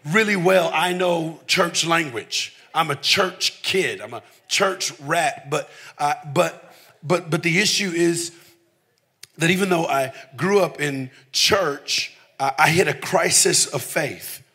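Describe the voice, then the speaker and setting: Forceful, candid male preacher. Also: a little high, slow pace, husky. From the southern United States. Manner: candid, with emphatic repetition. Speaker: preacher giving testimony. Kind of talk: conversational sermon with personal storytelling.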